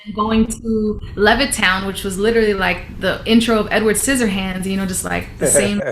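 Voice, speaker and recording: A woman talks reflectively as an interview guest, often restarting her sentences. Her voice sits mid-pitch. The room sounds echoey with a faint hiss behind her. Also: fairly even intonation, moderate pace. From Philadelphia, USA. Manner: warm, reflective, many fillers and restarts. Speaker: musician guest. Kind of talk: casual interview talk. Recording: roomy room with faint noise.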